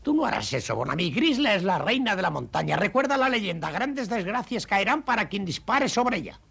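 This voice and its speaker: Husky, raspy young boy. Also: medium pitch, very animated, fairly quick, a bit bright, exaggerated voice. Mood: stern warning. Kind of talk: dubbed cartoon acting.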